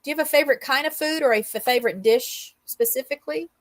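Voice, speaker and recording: A woman's voice: sits high, quick pace, no echo. A woman hosting a casual interview, chatty and enthusiastic as she puts questions to her guest. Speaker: interviewer, host.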